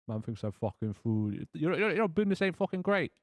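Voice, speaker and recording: A man's voice: on the high side, big pitch swings, fairly quick, no echo, voice pushed up. A man voicing a brusque young Chav blacksmith in improvised tabletop roleplay, slangy, with a put-on British Chav accent. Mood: incredulous, brusque. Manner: slangy, incredulous.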